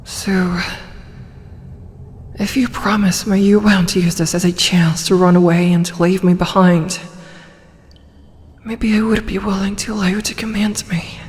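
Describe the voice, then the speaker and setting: A woman voicing a proud female dragon who turns shy and flustered in a hesitant ASMR roleplay, often slipping into breathy whispers. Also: medium pitch, in a large hall, natural voice.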